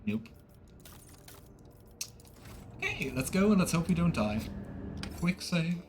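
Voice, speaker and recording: A man's voice: high voice, very animated, takes it slowly, vocal fry, slight background noise. A man giving casual let's play commentary as he streams a game, talking to himself and his viewers.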